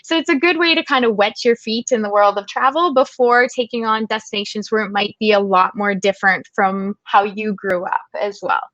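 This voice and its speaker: A woman's voice: medium pitch, a little fast, hardly any pauses, a bit bright, no echo. A young woman with a Canadian accent, answering webinar interview questions in an earnest, encouraging way and sometimes repeating words as she thinks. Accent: Canadian English. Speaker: guest speaker, travel writer. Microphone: video call. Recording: dull lo-fi call audio, dry.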